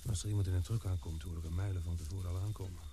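A man acting in a Dutch radio drama as an anxious, protective adventurer, with halting, dramatic phrasing. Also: low voice, steady tone, natural voice. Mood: wary, hushed. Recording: noisy old radio play, loud background ambience.

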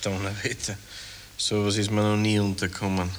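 An actor in a 1960s radio drama playing a blunt, wary army clerk who talks in folksy Austrian dialect. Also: male voice, on the low side, monotone, normal speed, close to an everyday voice. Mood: puzzled, wary. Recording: hissy old radio tape.

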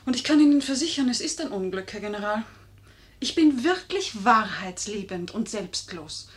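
An actress playing a cunning, proud, flattering lady in a theatrical 1950s German radio play style, fervent and coaxing. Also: a little high, normal speed. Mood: confiding, admiring.

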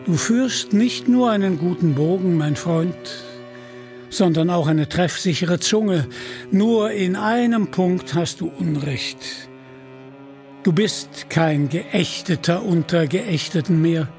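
Storybook warrior king, authoritative and teasing, measured pace, high for a man male narrator plays him. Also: a little mellow, faint music behind. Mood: gracious, solemn. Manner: stately, authoritative. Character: commanding, teasing king disguised as armoured leader.

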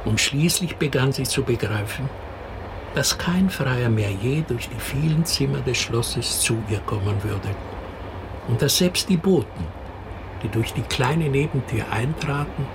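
A man's voice: mid-range voice, animated, a little slow, background noise. A man narrating in a scripted fairy-tale storytelling style for a radio drama.

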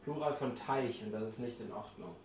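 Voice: mid-range voice, moderate pace, a little mellow. A co-host of a casual talk show who breaks in with dry, sarcastic remarks. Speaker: podcast co-host. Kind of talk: casual chat. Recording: echoey, somewhat distant mic with faint noise.

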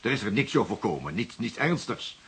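A man's voice: on the low side, very animated, brisk, a bit bright, slight background noise. An actor playing a wealthy, vain 55-year-old widower in a radio drama, defensive and indignant, stammering and repeating his words. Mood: shaken, self-reproachful. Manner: stammering, repeating words, blustering when challenged. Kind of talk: Dutch radio drama dialogue.

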